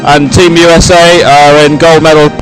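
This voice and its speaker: A man presenting a live sports broadcast, ad-libbing commentary in a halting, hesitant way. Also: on the high side, little pitch movement, over music, poor audio. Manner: hesitant, halting ad-libbed delivery. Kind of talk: live unscripted event commentary.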